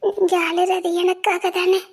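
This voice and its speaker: Very high, girlish sweetheart played by an actress. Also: flat delivery, a little slow. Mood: tender, consoling. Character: devoted, tender young village woman in love. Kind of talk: romantic drama acting.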